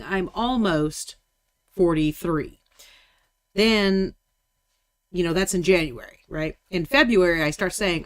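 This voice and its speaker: A woman in her mid forties chats while painting on a live stream. Her voice is lower than most. She tells stories in a wry, blunt way and jokes freely. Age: 40s. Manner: wry, blunt, joking with swearing. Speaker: co-host painting miniatures on stream. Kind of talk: casual chat.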